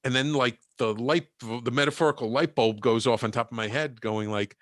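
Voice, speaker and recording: Male guest, lively storyteller with a middle-pitched voice and a dry close sound. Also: normal speed, dry recording. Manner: animated storyteller, acts out quoted dialogue. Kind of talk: storytelling in a podcast interview.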